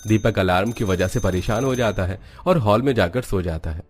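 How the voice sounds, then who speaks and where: A man's voice: fairly low pitch, moderate pace, faint music behind. A man narrating a cartoon family story in a scripted storybook style.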